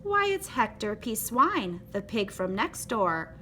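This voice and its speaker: A woman voicing a sweet, curious, excitable little girl in a children's storybook. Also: on the high side, takes it slowly, a little hiss or hum, heavily acted. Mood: surprised.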